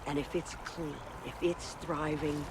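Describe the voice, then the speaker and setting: Man as groundskeeper, earnest, proud, higher-pitched, noisy watery ambience, game dialogue. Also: flat delivery, measured pace, natural voice.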